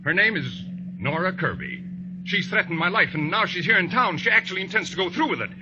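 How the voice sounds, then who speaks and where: Scared evasive man, higher voice, animated swings, halting and pleading, old noisy radio drama. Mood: frightened, pleading. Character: frightened, evasive, handsome male client, a self-styled composer. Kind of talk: 1940s radio drama acting. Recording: noisy, muffled vintage radio recording.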